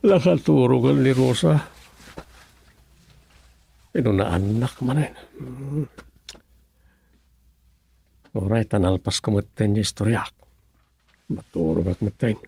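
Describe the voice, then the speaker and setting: A husky, gravelly village schemer. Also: male voice, medium pitch, animated, a little slow, long pauses, warm tone, heavily acted. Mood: musing, plotting. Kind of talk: Ilocano radio comedy drama acting.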